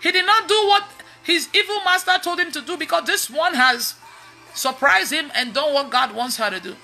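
Bright-voiced, high-pitched Nigerian woman preacher. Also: moderate pace, gravelly, soft background music. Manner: animated, emphatic, repeats phrases for emphasis. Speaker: online preacher. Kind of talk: casual testimony-style preaching on a livestream. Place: indoors in a room at home.

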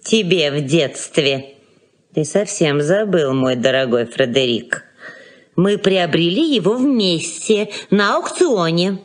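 An actress playing a bossy, nagging elderly aunt in a radio play, with a theatrical, scolding manner. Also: female voice, on the low side, animated, slow pace. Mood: quarrelsome. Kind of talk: Soviet radio play acting.

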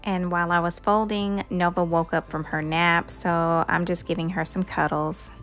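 A woman's voice: on the low side, flat delivery, moderate pace, a bit bright, faint music behind. A woman doing a casual, conversational voice-over for a homemaking vlog, chatting in a friendly way. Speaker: homemaking vlogger.

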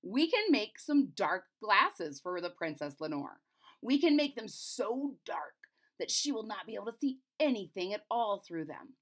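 A woman voicing a thoughtful, confident male royal chamberlain from a storybook, explaining in a measured way. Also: mid-range voice, sing-song. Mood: pleased.

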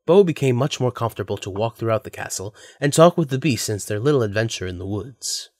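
A man's voice: medium pitch, lively intonation, quick pace, a little mellow, dry recording, clean studio sound. A man narrating a scripted audio drama as its storyteller.

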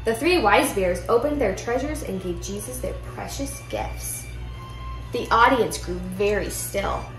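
A young woman reads a children's picture book aloud with expressive storytelling in a living room. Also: mid-range voice, measured pace. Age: young adult. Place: indoor living room at home. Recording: roomy echo, music behind.

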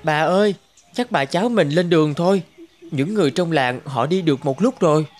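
A man's voice: on the high side, animated, brisk, soft background music, lightly acted. A man voicing a poor, kindly young grandson from a nomadic village in a cartoon. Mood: caring. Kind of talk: cartoon dubbing.

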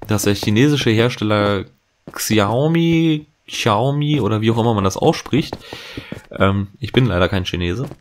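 A low-voiced man talks casually over his gameplay, drifting from thought to thought with plenty of fillers and self-corrections. A faint hiss and the odd click sit under his voice, as from a home desk mic. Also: animated, a little slow. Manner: chatty, rambling, many fillers and restarts. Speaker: let's play host. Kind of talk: casual gaming commentary.

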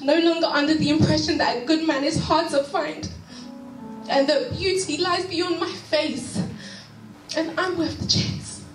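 A woman's voice: high voice, steady tone, unhurried. A woman giving an encouraging, preaching-style talk from a stage at a women's conference, on a handheld mic. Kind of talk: inspirational church talk. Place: church hall stage, indoors. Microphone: handheld mic, filmed from far. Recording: echoey hall from far off, with music.

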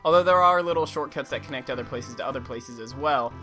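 A young man with a slight lisp giving casual, rambling commentary over a video game, swearing freely and now and then breaking into silly high voices. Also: fast talker. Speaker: let's play commentator. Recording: game music loud behind voice.

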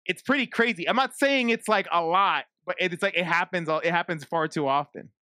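A man's voice: sits high, fairly quick, bright, forceful tone, dry recording, clean studio sound. A man chatting casually as a guest on a livestream. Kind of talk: casual chat.

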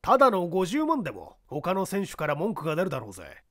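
A blustering, skeptical company boss in an audio story , acted out by a male reader. He pushes his raised voice hard, with bossy emphasis and big swings in pitch. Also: huge pitch swings, fast talker, a bit bright, heavily acted.

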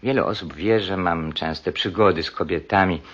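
Deep, husky scheming doctor. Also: male voice, fairly bright tone, lo-fi sound. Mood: cold, resolved. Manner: deliberate, matter-of-fact while plotting. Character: scheming philandering doctor, coldly calculating murder plotter. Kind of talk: radio drama acting.

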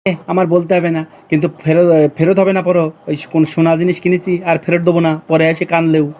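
A man plays a grumpy older village uncle in a comedy cartoon. He speaks fast in a dark, slightly high voice, gruff and blunt. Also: level tone, close to an everyday voice. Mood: grumpy, wary. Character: grumpy, suspicious older village uncle who buys gold. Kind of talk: amateur comedy cartoon dubbing.

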